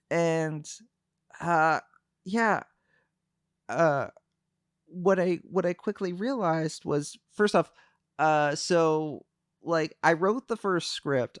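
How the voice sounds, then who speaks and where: A young man chatting on a livestream, calm and soft-spoken, hesitating often with fillers. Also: on the high side, slow, long pauses, smooth, no echo, clean studio sound. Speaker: VTuber streamer. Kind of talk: casual livestream chat.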